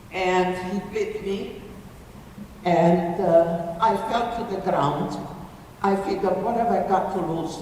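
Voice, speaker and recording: Elderly woman, survivor testimony, emotional and halting; long reverb, faint room noise. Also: on the low side, darkish tone. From Ukraine. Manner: halting, emotional, restarts phrases. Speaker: Holocaust survivor giving testimony. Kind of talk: personal testimony talk to an audience. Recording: echoey hall from far off with faint noise.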